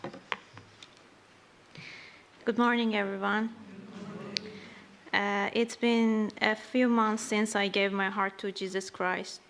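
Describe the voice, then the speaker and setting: A woman not speaking her first language gives a slow, earnest testimony at church, reading carefully from prepared notes. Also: mid-range voice, flat delivery, a bit bright, slight background noise. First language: not English. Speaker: church member giving a testimony.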